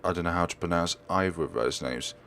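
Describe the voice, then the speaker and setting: A man reading visual novel text aloud as a let's player, expressive and occasionally stumbling over his words. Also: low voice, fast talker, a little hiss or hum. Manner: expressive, stumbles and corrects himself while reading.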